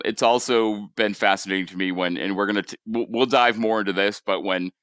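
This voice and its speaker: Bright-voiced Rhode Island podcast host. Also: male voice, low-pitched, smooth, dry recording, studio quality. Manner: halting, repeats words, hedging fillers. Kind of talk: casual podcast interview.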